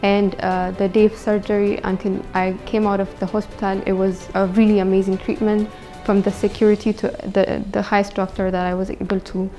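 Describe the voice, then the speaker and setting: A young woman speaks in an Ethiopian accent, telling her own story as a patient. Her voice is mellow and relaxed, with very even pitch. Soft music plays behind her. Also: brisk. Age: young adult. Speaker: patient giving testimonial. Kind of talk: personal testimonial to camera.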